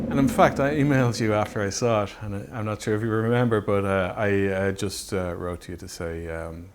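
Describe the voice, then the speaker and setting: A man reminiscing in a documentary interview as a filmmaker, in a halting, thoughtful way with frequent pauses. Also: low-pitched. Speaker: film director and effects artist being interviewed. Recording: steady background noise.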